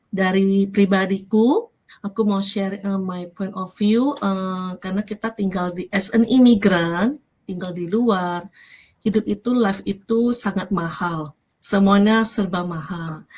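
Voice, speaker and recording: Woman, Indonesian accent, talk host, casual, medium pitch, halting and rephrasing, English words mixed in. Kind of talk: live talk, casual chat mixing in English.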